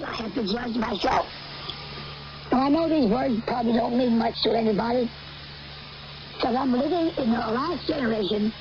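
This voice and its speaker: An older American woman preaches a rambling end-times sermon in a high-pitched voice. She is emphatic and repetitive, halting between phrases. It comes over shortwave radio, muffled and clearly noisy.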